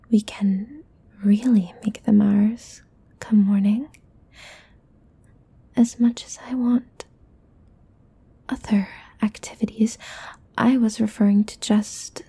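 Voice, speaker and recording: A woman voicing a playful, possessive demon in a soft, breathy, coaxing whisper for an ASMR roleplay. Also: a little high, exaggerated voice. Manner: slow, coaxing, teasing.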